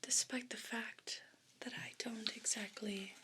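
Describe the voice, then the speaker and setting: A woman voicing a vain, pompous evil house cat in a breathy whisper for an ASMR role play. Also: a little high, steady tone, pushed and bright, exaggerated voice. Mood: imperious, dismissive. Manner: pompous, deliberate, formal wording.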